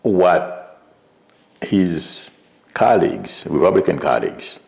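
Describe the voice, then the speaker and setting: A man's voice: low voice, big pitch swings, slow pace, creaky. A man from Haiti whose first language is Creole, giving rambling commentary on a livestream as a psychic. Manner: rambling, restarts often, soft chuckles. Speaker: psychic streamer.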